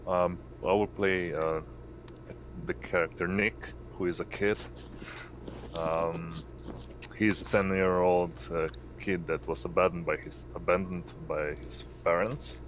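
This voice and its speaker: A man introducing his game character in a halting, matter-of-fact way. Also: sits low, fairly even intonation, slight background noise, rough recording. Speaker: tabletop game player.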